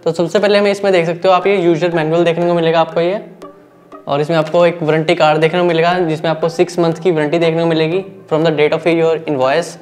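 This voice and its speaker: Fast, flat-voiced man reviewing a product. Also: fairly high pitch, soft background music. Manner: quick, plain explaining to viewers. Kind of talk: casual unboxing and demo narration.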